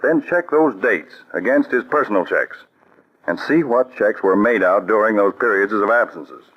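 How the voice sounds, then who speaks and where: Methodical counterspy chief, low voice, crisp orders, muffled old radio recording. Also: male voice, expressive, normal speed. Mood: steady, commanding. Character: commanding male counterspy chief, calm and methodical. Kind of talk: 1940s radio acting.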